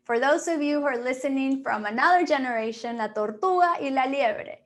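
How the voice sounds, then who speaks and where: Woman, financial planner; encouraging, upbeat, slightly high, on a video call. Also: fairly quick. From Peru. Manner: upbeat, encouraging, with fillers and restarts. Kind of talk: webinar presentation.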